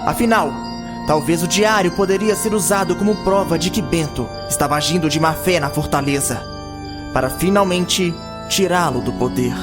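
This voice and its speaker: A man's voice: on the high side, normal speed. A man narrating a scripted fantasy audio drama in a dramatic storytelling style. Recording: background music.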